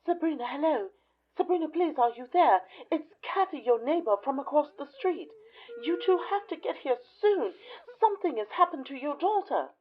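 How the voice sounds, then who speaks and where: Through a dull phone-call sound comes a woman acting a frantic, worried neighbor. Her voice is high and urgent, as in an audio drama. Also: lively intonation, moderate pace. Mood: frantic, pleading.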